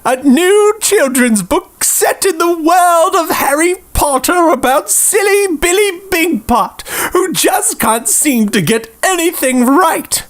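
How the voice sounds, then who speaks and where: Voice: very high-pitched, animated, takes it slowly, hardly any pauses. A narrator reading a scripted comic storybook piece.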